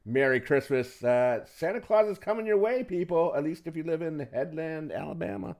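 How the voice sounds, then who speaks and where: A man's voice: a little high, lively intonation, normal speed, darkish tone. A man hosting a livestream with casual chat. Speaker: stream host.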